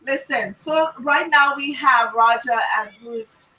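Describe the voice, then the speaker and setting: A woman from Trinidad and Tobago with a Trinidadian accent, hosting an online talk show in a lively, teasing way. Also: sits high, slow pace, distant mic, low-quality recording. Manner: lively, laughing and teasing guests. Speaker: host.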